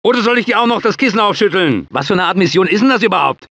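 A man's voice: high voice, lively intonation, rapid-fire, a bit bright, no room sound, heavily acted. An actor playing a cocky, wisecracking young male soldier in a German-dubbed game, mocking and brash. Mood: cocky teasing.

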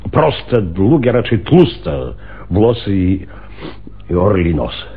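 An actor playing a halting, painstakingly precise male witness in a radio play, with a husky, raspy voice. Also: on the low side, very animated, exaggerated voice. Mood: shaken, precise. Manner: halting, deliberate. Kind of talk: Polish radio play acting. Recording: muffled, phone-like radio recording.

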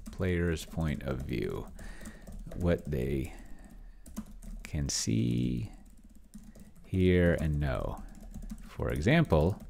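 A middle-aged man giving casual think-aloud screencast commentary, halting and muttering as he types. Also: rumbling low, gravelly, darkish tone, slight background noise. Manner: halting, mutters while typing. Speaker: host of a solo roleplaying experiment vlog. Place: small indoor room with bookshelves.

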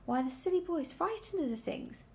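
A woman's voice: very high-pitched, lively intonation, moderate pace, voice pushed up. A woman voicing a motherly female sheepdog in a storybook, firm and soothing in manner. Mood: wry.

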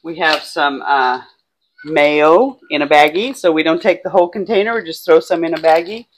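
A woman's voice: sits low, normal speed. Casual woman in her sixties, noisy room. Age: 60s. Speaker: vlog co-host. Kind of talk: casual chat, listing items. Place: indoors in a small home kitchen and bedroom. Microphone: clip-on lapel mic.

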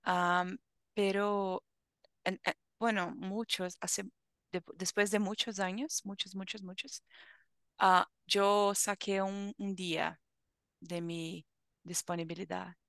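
A young woman teaching an online Spanish class, talking slowly and carefully, with encouraging remarks, over a headset on a video call. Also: medium pitch, level tone, fairly bright tone, smooth, no room sound, studio quality. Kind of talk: online language lesson chat.

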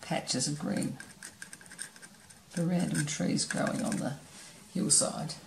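A woman teaching painting, talking in a relaxed way as she paints and explaining each step. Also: low-pitched, takes it slowly, creaky, roomy sound, noisy background. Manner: relaxed, thinking aloud while painting. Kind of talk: painting demonstration narration. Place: studio table, camera overhead.

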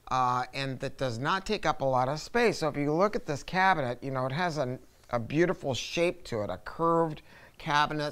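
A man's voice: a little high, a bit bright, clear, resonant tone. A man in his sixties giving a casual, enthusiastic product review, talking in an emphatic, chatty way with asides. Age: 60s. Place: carpeted audio showroom studio with acoustic panels, indoors.

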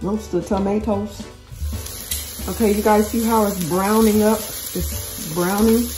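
A woman's voice: mid-range voice, fairly even intonation, slow pace, over music, low-quality recording. Chatty woman cooking at home. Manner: chatty, talks straight to viewers, restarts and corrects herself. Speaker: cooking channel host. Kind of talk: casual cooking tutorial. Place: home kitchen.

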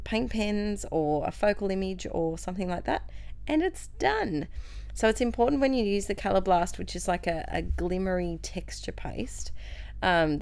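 Young woman, croaky with a cold, gravelly and chatty, dry close voice-over. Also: on the low side, a little mellow, no room sound. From Australia. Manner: chatty, cheerful, self-mocking. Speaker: craft channel host. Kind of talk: scrapbooking process voice-over.

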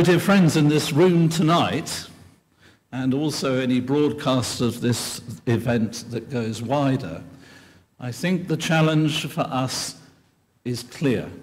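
An older man from England giving an earnest, measured keynote lecture at a podium microphone in a large hall. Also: medium pitch. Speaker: keynote speaker. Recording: long hall reverb.

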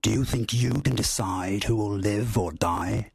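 A man reading aloud and voicing a kind but stern spirit that makes slow, solemn pronouncements in a husky voice. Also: fairly low pitch, no echo, clean studio sound, full character voice.

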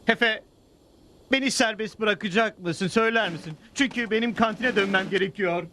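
A man playing an excitable, theatrical Mexican villager with a teasing streak in a cartoon dub. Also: sits high, moderate pace, slight background noise. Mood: resigned. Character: mocking, excitable Mexican villager, a canteen keeper. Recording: loud music behind the voice.

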